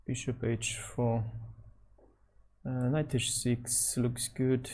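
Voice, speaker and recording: Slow, halting man thinking aloud. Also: mid-range voice, little pitch movement. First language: Nogai. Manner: halting non-native English, thinking out loud. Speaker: chess streamer. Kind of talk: thinking aloud while playing online chess.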